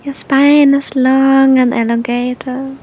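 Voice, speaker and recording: A woman guiding a meditation in a gentle, soothing manner, speaking to the listener with long, unhurried pauses. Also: level tone. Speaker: meditation guide. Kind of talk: guided meditation, spoken softly to the listener. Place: outdoors on a beach. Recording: outdoor recording with low rumbling noise.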